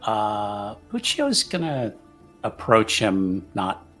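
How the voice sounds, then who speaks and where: A man playing a tabletop roleplaying game, chatting casually and haltingly as he thinks aloud. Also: lowish voice, wildly swooping pitch, a bit bright, soft background music. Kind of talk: casual actual-play chat.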